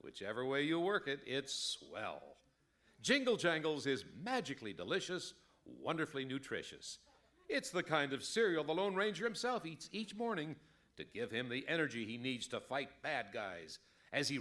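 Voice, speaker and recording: Man acting as an animated old-time radio cereal announcer. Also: medium pitch. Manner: peppy, sloganeering. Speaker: commercial announcer.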